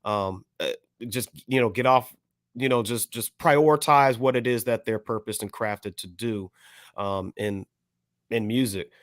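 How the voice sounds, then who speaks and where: A man's voice: on the low side, a little slow, no echo, clean studio sound. A man on a podcast panel giving opinions in casual discussion, picking his words carefully with hesitant phrasing.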